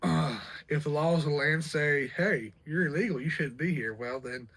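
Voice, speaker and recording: A young man in his late twenties talks in a loose, rambling way, circling back over his words and leaning on "you know." His voice sits a little higher than most men's. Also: normal speed, fairly bright tone, breathy edge. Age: 20s. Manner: rambling, repeats himself, many fillers. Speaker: vlog host. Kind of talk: casual chat.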